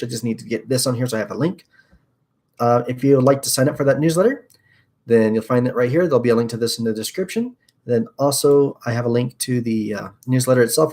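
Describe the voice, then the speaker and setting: Chatty young man hosting tech news. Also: mid-range voice. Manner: rambling, upbeat, frequent fillers. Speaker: host. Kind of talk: casual tech news livestream. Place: small room at a desk.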